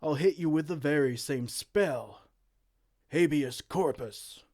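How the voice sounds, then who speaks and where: A man playing a vain, silly, soft-hearted evil king in a comedic fantasy roleplay, pompous and theatrical but slipping into casual dorkiness. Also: fairly high pitch, slow pace. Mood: indignant. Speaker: audio roleplay performer.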